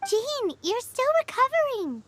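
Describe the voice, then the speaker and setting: Fairy companion for anime-style game: squeaky, childlike, animated, loyal and a little fussy. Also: female voice, a little slow, background noise, full character voice. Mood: worried, scolding. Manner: childlike, expressive, speaks of herself in third person. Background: game music.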